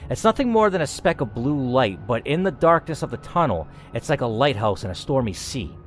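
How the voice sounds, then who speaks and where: Man, dramatic story narration, animated swooping pitch, theatrical, gaming host, faint background noise. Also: brisk. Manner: theatrical, expressive reading with asides. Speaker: gaming video host reading a text adventure aloud.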